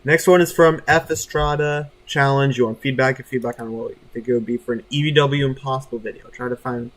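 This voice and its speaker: A man's voice: mid-range voice, fairly even intonation, brisk. A man chatting casually as he hosts a gaming livestream, informal, apologetic and self-deprecating. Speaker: livestreamer host. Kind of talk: casual gaming stream chat.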